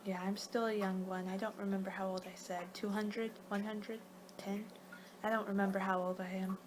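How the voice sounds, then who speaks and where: A woman performing a soft-spoken, partly whispered ASMR roleplay as a casual vampire, rambling and halting as she goes. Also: medium pitch, flat delivery, noisy background. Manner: rambling, halting, losing her train of thought. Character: young-for-her-kind female vampire guiding a client, casual, a little exasperated.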